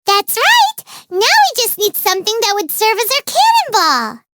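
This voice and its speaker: A tiny game fairy with an extremely high, bright, squeaky childlike voice. The delivery swoops wildly, exclamatory and melodramatic, as a cheeky little companion who is quick to take offence. There is faint noise behind the voice. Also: female voice, wildly swooping pitch, heavily acted. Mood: eager, explaining. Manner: speaks of self in third person, exclamatory, melodramatic. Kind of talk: animated video game voice acting.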